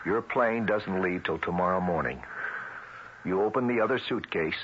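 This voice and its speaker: An actor playing a streetwise, grimly vengeful federal agent in 1970s radio drama, with tough, clipped, colloquial delivery. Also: male voice, deep voice, normal speed, natural voice. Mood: firm, persuasive. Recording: muffled vintage radio recording, faint hiss.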